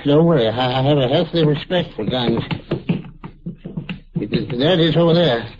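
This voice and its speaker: A man playing a nervous, folksy employee held at gunpoint in a 1950s radio drama, stammering and jittery with a husky voice. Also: medium pitch, normal speed, background noise, natural voice. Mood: nervous, compliant. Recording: muffled old radio recording.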